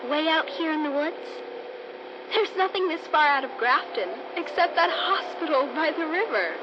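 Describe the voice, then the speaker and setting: A woman's voice: high voice, animated, moderate pace. Casting: frightened teenage girlfriend, breathless radio-serial melodrama, narrow old-radio sound over noisy effects. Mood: nagging, suspicious. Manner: breathless, dramatic, anxious. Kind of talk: old-time radio drama acting.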